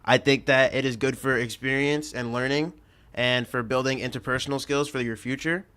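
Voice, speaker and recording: A man's voice: mid-range voice, fairly even intonation, normal speed, fairly bright tone, clear, resonant tone. A nineteen-year-old man hosting a roundtable talk show. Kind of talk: hosted roundtable chat.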